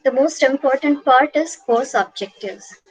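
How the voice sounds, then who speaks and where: A woman's voice: high voice, unhurried, husky, dry recording, low-quality recording. A woman giving an online class lecture from slides, patient and repetitive, with frequent "uh" fillers.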